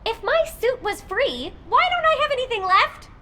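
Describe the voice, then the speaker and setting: Perky young soldier girl from a sci-fi game, super high n bright, playful, sentimental, impulsive. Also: moderate pace, slight background noise, full character voice. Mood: playful, whiny. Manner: chirpy, playful, expressive. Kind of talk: video game voice acting.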